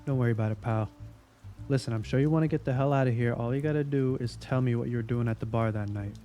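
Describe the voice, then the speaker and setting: Man acting a mellow, sardonic veteran detective. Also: mid-range voice, quick pace, soft background music, lightly acted. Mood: wry, placating. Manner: wry, needling, casual. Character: jaded, sardonic veteran male police investigator. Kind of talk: audio drama acting.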